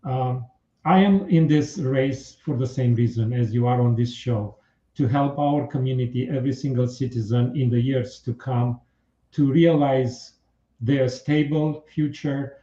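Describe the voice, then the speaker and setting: Earnest Romanian-accented man, halting and measured, warm tone, muffled echoey studio. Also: medium pitch. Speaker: political candidate. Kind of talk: radio interview.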